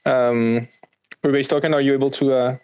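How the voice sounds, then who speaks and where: A man hosts an online audio session and hands the floor to a guest. His delivery is loose and full of fillers. His pitch sits in a middle range. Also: level tone, moderate pace, clear, resonant tone, dry recording, highs cut off. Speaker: host. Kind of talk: moderating an online call. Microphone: online audio call.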